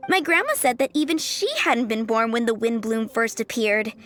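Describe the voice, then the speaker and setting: A sweet young florist in a video game with a high, girlish voice. She is polite and chirpy, very lively in pitch, over faint background music. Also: normal speed. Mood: cheerfully explaining. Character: sweet young flower shop girl, cheerful and polite. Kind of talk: video game character acting.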